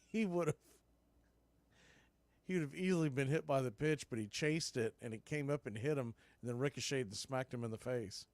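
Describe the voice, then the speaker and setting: A man's voice: medium pitch, normal speed, pauses often, no echo. A man doing conversational, folksy live play-by-play baseball commentary.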